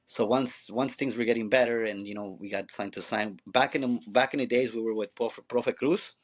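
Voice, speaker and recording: A man's voice: mid-range voice, fairly even intonation, normal speed, poor audio. A man, a former professional player now a youth coach, telling his story in a loose, rambling way with frequent restarts and "you know"s. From Mexico. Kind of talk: conversational storytelling.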